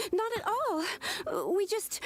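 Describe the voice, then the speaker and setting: An overworked young clerk girl from a video game, with a very high, girlish voice. She is eager and deferential, swooping in pitch at an unhurried pace. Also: wildly swooping pitch, soft background music, exaggerated voice. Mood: weary, pleading. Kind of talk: scripted video game dialogue.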